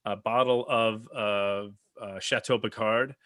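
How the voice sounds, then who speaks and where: A man on a podcast panel, talking casually and enthusiastically, often halting to gather his thoughts. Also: lowish voice, steady tone, fairly bright tone, no echo, studio quality. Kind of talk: casual discussion.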